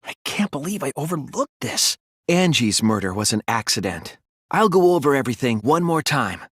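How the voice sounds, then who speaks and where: Teenage boy detective, earnest, thoughtful, husky-edged voice, lively intonation, video game dub. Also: medium pitch, no echo, clean studio sound. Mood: quiet, subdued. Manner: earnest, deliberate reasoning. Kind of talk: English video game dub, dramatic deduction dialogue.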